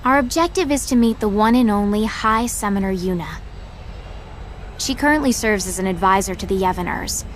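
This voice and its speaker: A woman's voice: medium pitch, normal speed, a little hiss or hum, lightly acted. A woman playing a sardonic seventeen-year-old girl agent in a video game audio drama, snide and inquisitive, outwardly polite but privately scornful. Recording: loud background music under voice.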